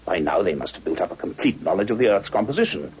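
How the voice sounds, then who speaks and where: A man acting a thoughtful, learned scientist in an old radio science fiction drama, speaking in a grave, measured, scholarly way. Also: deep voice, big pitch swings, a little mellow, breathy edge, full character voice. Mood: grave wonder. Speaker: lead actor and first-person narrator. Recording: muffled, dull old radio recording.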